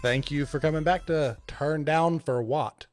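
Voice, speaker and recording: A man's voice: on the high side, measured pace, loud music behind the voice. A man co-hosting a podcast, introducing guests in a casual, filler-heavy, upbeat way. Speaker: podcast co-host.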